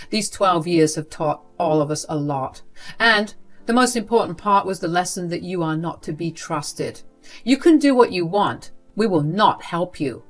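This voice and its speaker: A woman's voice: on the low side, lightly acted. A woman voicing a firm, cold middle-aged man. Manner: firm, cutting.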